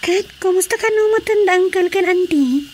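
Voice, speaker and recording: A woman playing a talkative, concerned village woman in a Filipino radio drama, with an Ilocano accent and expressive, gossipy delivery. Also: very high-pitched, moderate pace, a little hiss or hum. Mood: animated.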